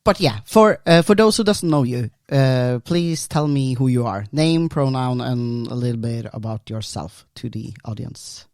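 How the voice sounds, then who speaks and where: A man from Norway hosts a podcast and talks in English, which is not his first language. His speech is hesitant and halting, and the recording is dry, with no room echo. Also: medium pitch, animated, a little mellow. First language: Norwegian. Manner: hesitant, many fillers and restarts. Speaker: podcast host. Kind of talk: casual podcast interview.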